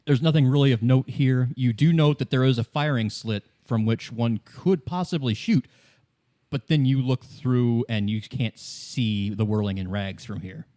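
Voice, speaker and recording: A man running a tabletop game, narrating scenes in a casual, halting, conversational way. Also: mid-range voice, dark tone. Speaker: game master.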